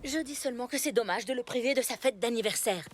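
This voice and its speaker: A woman's voice: on the high side, quick pace, background noise, lightly acted. A woman voicing a strict, protective mother in a cartoon, speaking firmly. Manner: firm, scolding.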